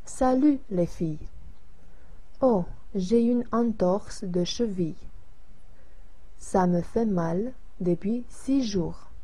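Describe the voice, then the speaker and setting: A tired young woman laid up with a sprained ankle, as a woman reads her lines. The voice is slow, soft and mellow, with a breathy edge, in the steady style of a recorded lesson. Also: medium pitch, rough recording. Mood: weary.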